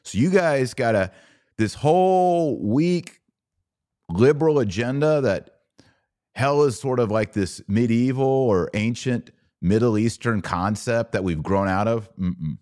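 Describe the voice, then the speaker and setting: A man's voice: mid-range voice, lively intonation, unhurried, darkish tone. A man hosting a podcast, giving a blunt, informal religious commentary in an emphatic, preachy way. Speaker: podcast host.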